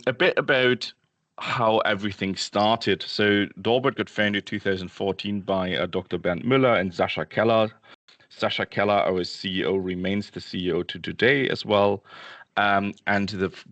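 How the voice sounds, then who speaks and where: A man giving an informal product presentation as a company representative, speaking haltingly with frequent filler sounds. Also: fairly low pitch, fairly bright tone, dry recording. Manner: halting, hesitant delivery with many ums and uhs. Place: indoors, Berlin office.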